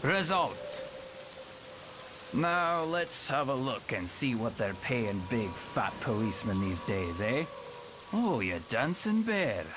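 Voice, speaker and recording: A man's voice: mid-range voice, big pitch swings, takes it slowly, music in the background. A man acting a police sergeant with a Scottish accent in a motion comic. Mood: gloating. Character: gruff Scottish police sergeant, later possessed by a gleeful mutant.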